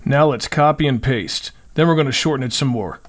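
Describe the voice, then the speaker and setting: A man's voice: mid-range voice, a little fast. A man hosting a music production tutorial, narrating step-by-step instructions in short, simple phrases.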